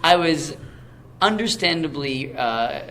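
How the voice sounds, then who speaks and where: A man co-hosting a casual movie podcast, talking in an animated way and often mimicking his co-host. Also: fairly high pitch, slow pace, crowd noise. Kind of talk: casual chat.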